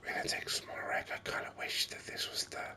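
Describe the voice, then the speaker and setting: Whispering man doing ASMR game commentary. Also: sharp tone. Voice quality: breathy unvoiced whisper. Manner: unhurried, musing aloud. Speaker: video game streamer.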